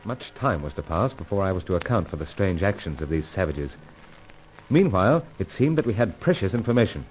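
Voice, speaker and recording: An actor playing a gallant British secret agent in an old-fashioned radio serial, with hearty, gentlemanly speech. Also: male voice, low-pitched, big pitch swings, fairly quick, faint music behind. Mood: grave. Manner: hearty, clipped gentlemanly exclamations. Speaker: lead character and narrator. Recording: dull, band-limited old radio recording.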